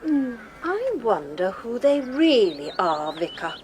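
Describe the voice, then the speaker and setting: An actress playing a prim, literal-minded and inquisitive English spinster in a radio drama. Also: female voice, a little high, big pitch swings, slow pace, a little hiss or hum. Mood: musing, suspicious.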